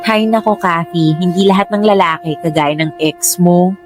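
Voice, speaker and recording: Actress as breezy young Filipino friend, music underneath. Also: female voice, fairly low pitch, moderate pace, natural voice. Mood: breezy, lovestruck. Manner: playful, chatty. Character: breezy, lovestruck young best friend, self-assured. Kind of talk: Tagalog radio drama acting. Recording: music bed under dialogue.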